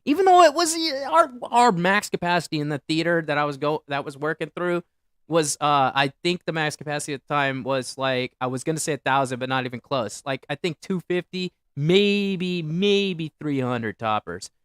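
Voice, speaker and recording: A man's voice: a little high, expressive, moderate pace, fairly bright tone, clear, resonant tone, no room sound, studio quality. Boastful rambling man on a livestream. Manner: boastful, rambling, self-aggrandizing. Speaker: livestream host. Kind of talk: solo livestream rant.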